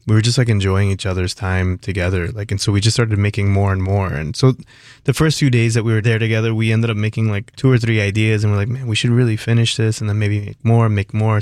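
A young adult man from South Florida, a musician guest chatting casually in a radio interview, relaxed and rambling with many fillers. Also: lowish voice, clear, resonant tone, dry recording, clean studio sound.

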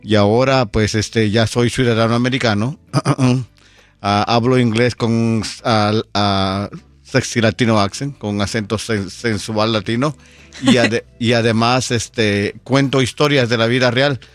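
Guest, male storyteller, middle-aged, dry studio sound, faint music bed, relaxed and filler-heavy. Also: medium pitch, no room sound. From Guatemala. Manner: unhurried, many fillers like "este". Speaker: storyteller and event producer, guest. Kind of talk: radio interview. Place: radio studio.

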